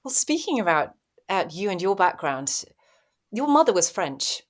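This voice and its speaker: A woman's voice: mid-range voice, sing-song, moderate pace. A woman hosting an interview podcast, asking encouraging questions in a friendly way. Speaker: podcast host. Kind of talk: casual interview.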